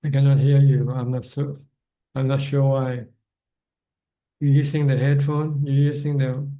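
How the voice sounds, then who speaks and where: A man's voice: mid-range voice, creaky, dark tone, low-quality recording. A man answering questions as a Buddhist teacher on a video call, speaking plainly and often repeating words as he finds his phrasing. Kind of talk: online dharma Q&A.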